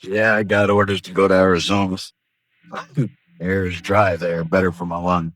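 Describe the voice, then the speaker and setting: An actor playing a sickly, courtly gunfighter in a radio Western, dry and unruffled, with an American accent and a husky voice. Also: male voice, low-pitched, little pitch movement, normal speed, a bit bright, no room sound. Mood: relaxed, friendly. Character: sickly, courtly gambler gunfighter, wryly cool and dangerous. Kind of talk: 1950s radio Western acting.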